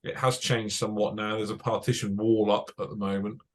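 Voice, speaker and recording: A man's voice: on the low side, flat delivery, a little fast, slight background noise. A young British man giving an informal technical talk to a radio club as a broadcast engineer, chatty and self-correcting with many fillers. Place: club meeting room.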